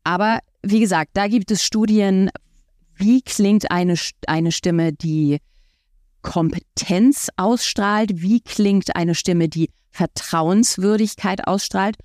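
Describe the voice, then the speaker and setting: A woman's voice: mid-range voice, takes it slowly, a little mellow, dry recording, studio quality. A woman speaking as an expert podcast guest, explaining her points conversationally with everyday examples.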